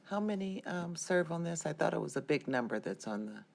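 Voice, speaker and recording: A woman councilmember asking questions in a halting, informal way at a public meeting. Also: deep voice, expressive, creaky.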